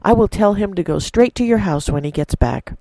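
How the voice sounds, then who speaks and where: A woman's voice: medium pitch, lively intonation, brisk, mellow, dry recording, pitched above the performer's own voice. A woman voicing an older male farmer in a spoken story, giving firm, reassuring advice. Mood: urgent reassuring.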